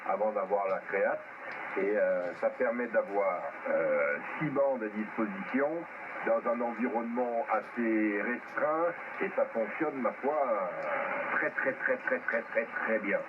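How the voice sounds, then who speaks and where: A man's voice: fairly low pitch, measured pace. A man talking off the cuff as an amateur radio operator over a radio transmission, hesitant and repeating words for emphasis. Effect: ham radio transmission. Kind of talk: unscripted technical talk over amateur radio. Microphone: radio transceiver microphone. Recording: very noisy, narrow-band radio link.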